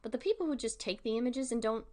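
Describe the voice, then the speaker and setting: A woman streamer talks candidly and casually to her viewers. She moves in a halting way, doubling back on words and repeating them for emphasis, with frequent little asides. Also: on the high side, dark tone. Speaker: streamer and artist. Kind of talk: candid casual livestream commentary.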